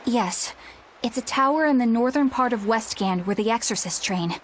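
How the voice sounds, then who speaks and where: A woman's voice: fairly high pitch, moderate pace, slight background noise, close to an everyday voice. An actress playing a prim, principled young woman warrior in a video game, formal and easily exasperated. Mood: explaining, proper. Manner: proper, formal, indignant. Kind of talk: English video game voice acting.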